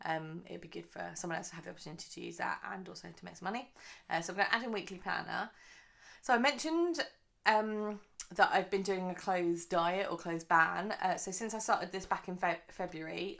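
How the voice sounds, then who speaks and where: A woman hosting her own budgeting vlog, a little croaky from the early morning, chatting in a rambling way with lots of fillers. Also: on the low side, a bit bright. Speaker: budgeting channel host.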